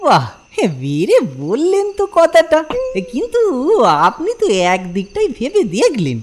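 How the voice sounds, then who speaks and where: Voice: sits high, huge pitch swings, unhurried, slight background noise, full character voice. An actor voicing a cheeky, servile village thief in a comic cartoon, wheedling and rustic. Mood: slyly arguing. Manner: obsequious, rustic wheedling, keeps saying sir. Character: cheeky village thief, servile, earnestly arguing simpleton man.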